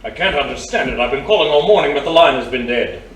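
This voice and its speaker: Urbane older gentleman gangster for male actor, medium-pitched, measured and genteel, roomy audio-drama sound. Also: animated, over music, close to an everyday voice. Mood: exasperated. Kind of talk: pulp adventure audio drama acting. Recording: roomy, distant mic, faint noise.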